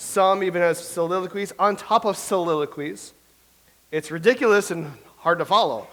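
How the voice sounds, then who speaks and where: An actor playing a nervous, self-doubting Elizabethan playwright who stammers and speaks theatrically in a staged reading. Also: male voice, high voice, normal speed, a bit bright. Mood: indignant. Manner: theatrical, fretful, stammering soliloquy. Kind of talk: staged reading of a comedy play.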